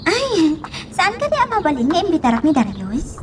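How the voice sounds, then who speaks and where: A woman's voice: very high-pitched, huge pitch swings, normal speed, recorded from a distance, noisy background, full character voice. An actress with a Filipino accent playing a teasing, bubbly young woman in a radio drama. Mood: playful. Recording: loud music bed under the voice.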